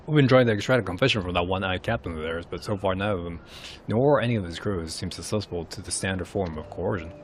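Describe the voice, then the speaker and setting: A man reading game cutscene dialogue aloud in a dramatised, somewhat halting way. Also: sits low, a little hiss or hum.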